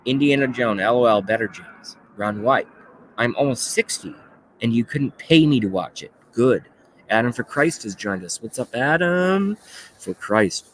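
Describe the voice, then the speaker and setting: A man of thirty-five hosting a livestream, talking casually and haltingly as he rants and reads out chat messages, with some swearing. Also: mid-range voice, expressive, slight background noise, poor audio. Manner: rambling, halting, often swearing. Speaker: livestream host. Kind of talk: casual political rant while reading live chat.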